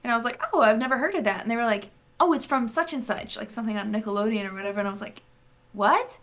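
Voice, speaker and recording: A woman's voice: fairly high pitch, fast, fairly bright tone. A woman in her mid twenties, a teacher, chatting on a podcast call and acting out little anecdotes. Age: 20s. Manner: chatty storyteller, acts out dialogue. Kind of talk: casual chat, telling anecdotes.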